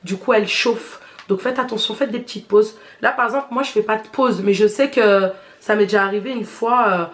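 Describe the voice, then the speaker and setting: A woman's voice: mid-range voice, brisk, few pauses. A woman with a stuffy head cold, giving a chatty, slangy hair tutorial to her viewers while she demonstrates. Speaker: beauty vlogger. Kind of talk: casual hair tutorial chat.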